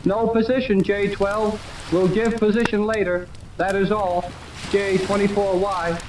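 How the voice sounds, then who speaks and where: An old, noisy radio serial recording features a brash young American hero. His voice is high and boyish, with slangy, stubborn delivery. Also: level tone, unhurried, distant mic. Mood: businesslike. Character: plucky, defiant American boy radio operator. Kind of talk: 1930s radio serial acting.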